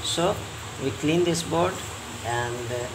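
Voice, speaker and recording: A man giving a step-by-step hands-on repair tutorial as a technician, in halting, repetitive short phrases. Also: on the high side. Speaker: repair technician and channel host. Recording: clear steady hum of room noise.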